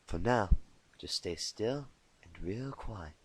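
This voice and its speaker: A man voicing a calm, confident female farm pony with a folksy, easygoing manner in a cartoon fan story. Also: fairly low pitch, takes it slowly, slight background noise, voice pushed down. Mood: steady, reassuring.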